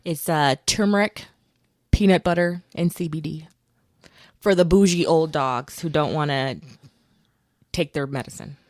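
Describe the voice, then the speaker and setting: A woman with a slightly low voice hosts a podcast in loose, chatty storytelling. She is informal and animated when quoting people, recorded close and dry. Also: measured pace, pauses often, dry recording. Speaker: podcast host. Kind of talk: casual conversational storytelling.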